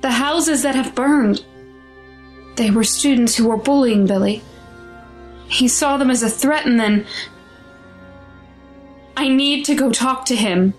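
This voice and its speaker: A woman's voice: on the high side, takes it slowly, long pauses, faint music behind. A woman playing a protective, distressed mother in an audio drama, defensive and anxious about her child.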